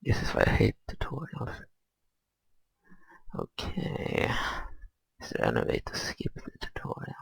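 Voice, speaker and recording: A man giving casual gameplay commentary, thinking aloud in a hesitant, grumbling, husky and gravelly way. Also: unhurried, long pauses, no echo, in a whisper, poor audio. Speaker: gamer recording gameplay commentary.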